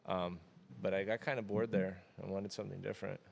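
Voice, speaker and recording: A man in his thirties from the Florida Keys, talking casually as an interview guest in a relaxed, rambling way with many fillers. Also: low voice, a little fast, vocal fry. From Florida Keys, USA. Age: 30s. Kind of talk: casual conversation.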